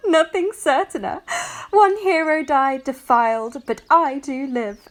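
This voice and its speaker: A slow, gentle young bride. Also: female voice, high voice. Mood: proud, joyful. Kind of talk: Shakespearean audio play acting.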